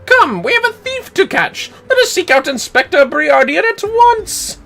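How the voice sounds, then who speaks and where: A man voicing a pompous, eager and excitable gentleman inspector in a video game, with flowery, theatrical delivery. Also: extremely high pitch, measured pace, vocal fry, soft background music, pitched above the performer's own voice, exaggerated voice. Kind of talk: video game dialogue read aloud.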